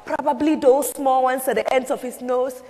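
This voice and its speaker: A woman playing an eager, proud and wistful young Rwandan woman survivor in a theatrical solo stage piece, with expressive pauses. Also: high voice, lightly acted. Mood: eager, nervous. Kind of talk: solo dramatic interpretation on stage. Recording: echoey hall.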